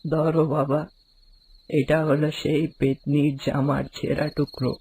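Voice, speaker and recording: A man voicing a kindly, worried old village aunt in a horror cartoon, speaking in a flat, earnest, warning way. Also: a little high, measured pace, husky, poor audio.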